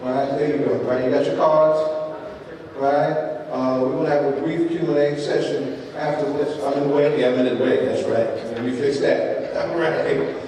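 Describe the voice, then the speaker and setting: A man's voice: a little high, fairly even intonation, moderate pace, warm tone. A man emceeing a live panel event, loose and conversational, with many "uh"s and "right?"s. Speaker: event host and moderator. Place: large hall at a live event. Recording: echoey hall from far off, faint noise.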